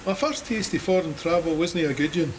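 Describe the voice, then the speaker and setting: A man's voice: on the high side, fairly quick, a little hiss or hum, natural voice. An actor with a Scottish accent playing a wry, plain-spoken cavalryman recounting his war in a dramatised memoir. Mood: wry, reflective. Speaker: narrator of an audio drama. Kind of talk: dramatised first-person memoir narration.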